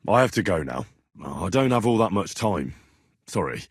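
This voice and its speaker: An actor playing an earnest, plucky young medieval adventurer in a video game, polite and plain-spoken, with a slightly husky voice. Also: male voice, low-pitched, very animated, normal speed, no room sound, studio quality, exaggerated voice. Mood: apologetic, brisk. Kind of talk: video game character dialogue.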